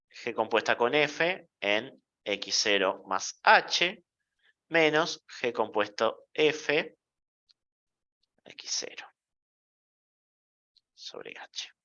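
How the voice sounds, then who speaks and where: A male university lecturer talks through math slowly, in a playful manner, pausing to check in with the class. His voice is bright and rises and falls a lot. The classroom recording sounds dull and lo-fi. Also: mid-range voice, lively intonation.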